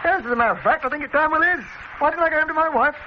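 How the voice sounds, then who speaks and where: A man's voice: very high voice, racing, muffled recording. An actor playing a nervous, easily irritated businessman in a suspense radio drama, hesitant and stammering, with an English accent. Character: nervous, irritable married businessman afraid of dentists. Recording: noisy, band-limited old radio recording.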